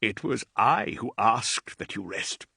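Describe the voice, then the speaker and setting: A man's voice: lowish voice, lively intonation, slow, creaky, bright, forceful tone, breathy edge, dry recording, clean studio sound, full character voice. A man voicing an aged, devoted male servant who speaks with deference in an audiobook. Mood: deferential. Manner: pleading, deferential.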